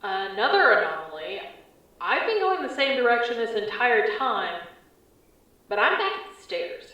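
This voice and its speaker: Actress as wary female field agent, audio drama. Lively pitch, terse observational reports, very echoey transmission. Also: moderate pace. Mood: unsettled. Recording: echoey, distant, muffled transmission.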